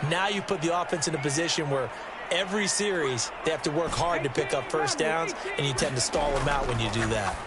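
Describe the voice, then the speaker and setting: A man's voice: on the high side, brisk, over loud music, a loud crowd, close to an everyday voice. A man playing an upbeat American ex-player color commentator in a football video game, conversational, folksy and emphatic. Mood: analytical, approving. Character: upbeat former defensive back turned football color analyst.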